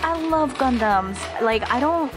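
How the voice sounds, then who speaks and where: A young woman with a slightly high, hoarse voice narrates a handheld toy-store vlog. She gasps and gushes over each find. Music plays clearly underneath her voice. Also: unhurried. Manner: excitable, gasping, gushing over finds. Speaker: toy vlogger. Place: toy aisles inside a store.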